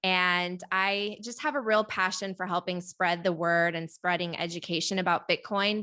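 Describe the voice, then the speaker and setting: A woman's voice: fairly low pitch, sharp tone, smooth. A woman from Poland answering interview questions in an articulate, earnest way. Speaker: guest.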